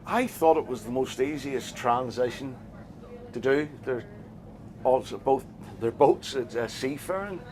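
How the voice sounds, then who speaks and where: Northern Irish boat skipper, hesitant. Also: male voice, mid-range voice, a little slow, darkish tone, slight background noise. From Northern Ireland. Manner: hesitant, plain-spoken, many fillers and restarts. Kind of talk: casual interview answers. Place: on a small boat offshore.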